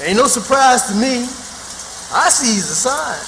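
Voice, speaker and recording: Folksy Southern runaway, high-pitched, slow and superstitious, in a reverberant radio play. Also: male voice, animated, gravelly, heavy background noise, full character voice. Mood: knowing, grumbling. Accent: American South. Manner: folksy dialect, drawling. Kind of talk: radio theatre acting. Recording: long reverberant hall sound.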